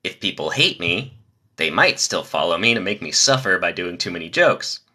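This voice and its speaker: A young man reading joke after joke on a live stream, pitching his voice low from the diaphragm to spare his nasal voice. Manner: rapid-fire joke delivery, lively.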